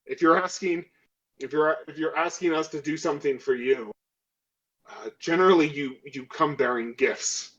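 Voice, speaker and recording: A man's voice: on the high side, normal speed, fairly bright tone, close to an everyday voice. A man playing a skeptical, self-interested crew member in a tabletop role-playing game, arguing emphatically on a dull microphone. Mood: argumentative.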